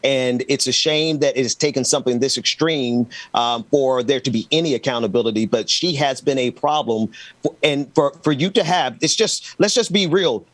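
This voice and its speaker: Male, American, medium pitch, very dry sound. Emphatic, conversational political panelist. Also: normal speed, dry recording. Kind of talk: unscripted political discussion.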